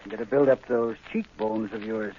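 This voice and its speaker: An actor playing a cold, greedy underworld doctor, curt and callous in 1940s radio drama style. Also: male voice, lowish voice, fairly even intonation, normal speed, dark tone, a little hiss or hum, close to an everyday voice. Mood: cold, businesslike. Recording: tinny phone-like 1940s radio recording.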